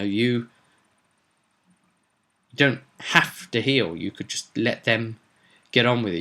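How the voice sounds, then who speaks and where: Male, lowish but bright and punchy voice; rambling, off-the-cuff tabletop campaign storyteller with emphatic stresses. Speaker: host recapping a tabletop roleplay campaign. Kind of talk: casual unscripted storytelling.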